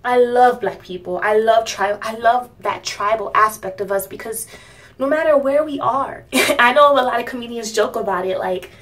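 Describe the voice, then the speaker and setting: A young woman from Miami talking casually to her channel's viewers, rambling with fillers and soft laughs. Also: on the high side, moderate pace, recorded from a distance. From Miami, United States. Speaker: vlogger. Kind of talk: casual talk to camera.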